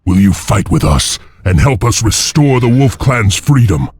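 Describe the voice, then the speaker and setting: Resolute clan chief for a fantasy game: low, solemn, commanding, music underneath. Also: male voice, sing-song, measured pace, a little mellow, breathy edge, full character voice. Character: resolute male wolf clan leader, noble and stern. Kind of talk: video game voice acting.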